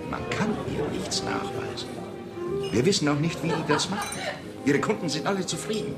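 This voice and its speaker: An actor playing an exasperated, sardonic gentleman banker in a radio play, formal and agitated. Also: fairly high pitch, expressive, moderate pace. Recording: 1970s radio play, loud music behind.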